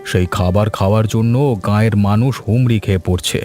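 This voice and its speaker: A man voicing a pompous, authoritative village landlord in a cartoon folk tale, stern and deliberate. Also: on the low side, steady tone, a little mellow, soft background music, natural voice. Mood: stern, pondering. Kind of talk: animated folk tale voice acting.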